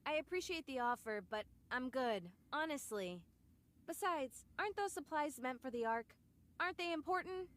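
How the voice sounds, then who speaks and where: A woman's voice: sits high, animated, moderate pace, a bit bright. A woman voicing a kind, reserved and steadfast female soldier in an English-language video game. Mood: firm, polite.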